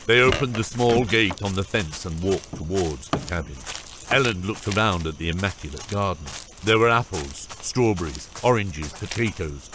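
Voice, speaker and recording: A man's voice: fairly low pitch, a bit bright, a little hiss or hum, low-quality recording. A man narrating a fantasy audiobook from a script.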